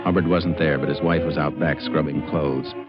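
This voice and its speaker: An actor playing a calm, shrewd Texas Ranger in a 1950s western radio drama, terse and matter-of-fact. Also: male voice, very deep voice, normal speed, over music. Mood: sober, measured. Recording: muffled, hissy old radio recording.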